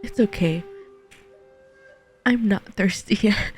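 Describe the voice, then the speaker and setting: A woman's voice: mid-range voice, takes it slowly, darkish tone, faint music behind. A woman streaming a game, giving informal, emotive reaction commentary into a close mic. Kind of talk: casual gameplay reaction commentary.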